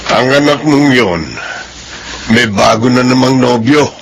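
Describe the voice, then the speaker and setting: An actor playing a middle-aged uncle who gives measured, steady advice, in a classic radio drama. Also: male voice, medium pitch, gravelly, noisy background. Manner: measured, advising. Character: calm older uncle giving counsel.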